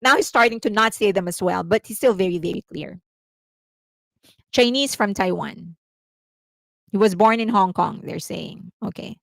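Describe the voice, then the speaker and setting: A woman's voice: medium pitch, normal speed, vocal fry, pauses often, dry recording. Chatty Filipino woman in a dry room. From Philippines. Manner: chatty and explanatory, laughs often, reads chat comments aloud. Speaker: host. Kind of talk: casual commentary livestream.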